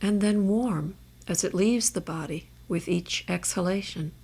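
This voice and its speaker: Slow-paced woman guiding meditation, slightly creaky voice. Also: lowish voice. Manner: measured, gentle instruction with long pauses. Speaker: meditation guide. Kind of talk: guided body scan meditation.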